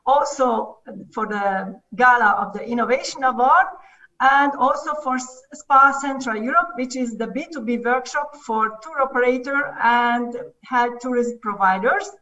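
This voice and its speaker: A woman's voice: fairly high pitch, unhurried, bright, forceful tone. A woman moderating a conference session, talking with frequent fillers and restarts. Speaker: forum moderator. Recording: distant, dull online conference audio.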